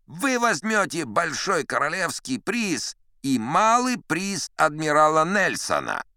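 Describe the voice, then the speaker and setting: An excitable English gentleman yachtsman acted out by a male narrator in an audiobook. He is pompous and emphatic, pitched high and swooping widely. The recording is close and dry. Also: slow, dry recording, exaggerated voice. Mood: eager persuasion.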